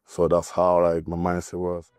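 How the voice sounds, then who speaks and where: A young man from Cameroon, a college basketball player giving a podcast interview, speaking haltingly with many fillers. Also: deep voice, completely flat delivery, over loud music. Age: young adult. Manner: halting, filler-heavy, nervous.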